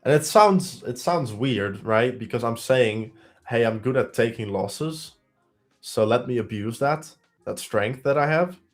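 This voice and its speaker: A man's voice: medium pitch, animated, a little slow, soft background music. A man with a heavy Belgian accent hosting a live trading stream, thinking aloud as he counts through trades and results.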